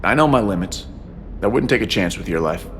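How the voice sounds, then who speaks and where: Calm low-voiced shuttle pilot. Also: male voice, fairly quick, a little hiss or hum. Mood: assured. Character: calm dedicated male shuttle pilot, quietly wistful. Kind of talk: video game dialogue acting.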